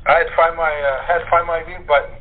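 A middle-aged American man chatting casually as a guest, halting as he searches through his memories. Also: on the high side, fairly even intonation. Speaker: cast member guest. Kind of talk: casual chat, reminiscing. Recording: tinny noisy phone line.

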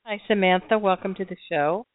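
A woman's voice: mid-range voice, brisk. A woman from Miami co-hosting a psychic call-in radio show, greeting callers and chatting with them. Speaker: psychic medium co-host. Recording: tinny phone-line sound.

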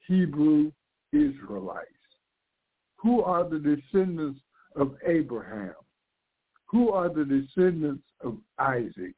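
Congested older pastor on a phone-like line. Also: male voice, fairly high pitch. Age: 60s. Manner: fervent, repeats and restarts phrases. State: congested from flu. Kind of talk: live radio sermon. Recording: narrow phone-like radio sound.

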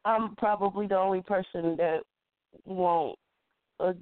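A congested woman recovering from the flu, speaking deliberately and reflectively as an interview guest who is a poet and educator. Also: fairly low pitch, steady tone, no room sound. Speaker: featured guest, spoken word poet and educator. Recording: muffled phone-quality call-in line.

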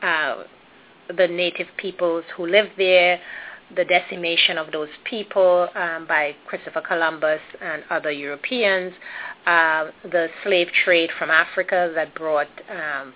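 A woman from Trinidad and Tobago with a Trinidadian accent, a guest answering interview questions thoughtfully, with many ums and self-corrections. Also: low-pitched. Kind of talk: interview conversation. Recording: phone-like narrow audio.

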